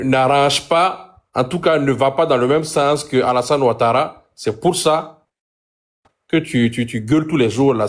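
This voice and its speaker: Hesitant man with many fillers. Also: medium pitch. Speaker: guest speaker on a live stream. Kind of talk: political discussion.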